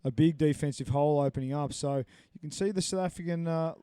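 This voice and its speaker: A man's voice: fairly high pitch, fast, dark tone, clear, resonant tone, no room sound. A man commentating a live match with upbeat, jokey analysis and frequent fillers. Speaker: sports commentator.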